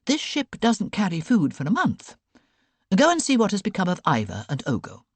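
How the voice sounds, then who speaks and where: A woman voicing a stern, no-nonsense aunt in an audiobook, crisp and commanding in manner. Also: mid-range voice, wildly swooping pitch, normal speed, no room sound, voice pushed up. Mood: brisk. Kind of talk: audiobook character voice. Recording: dry close recording.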